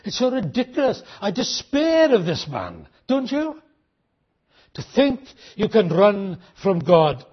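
A man preaching a sermon, emphatic and full of rhetorical questions, slowing right down to drive points home. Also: high-pitched, slightly rough, lo-fi sound.

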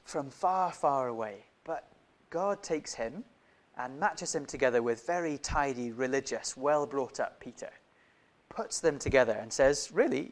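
A man who grew up in Indonesia, preaching a sermon in a conversational way, often putting questions to his listeners. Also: a little high.

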